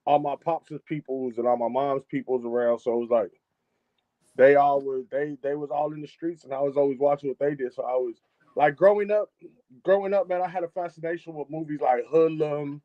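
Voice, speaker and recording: A man's voice: a little high, steady tone, a little fast, no echo, narrow, tinny audio. A man, a music engineer talking casually as a guest on a live-streamed interview, often repeating and restarting his phrases. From Chicago, United States. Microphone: video call.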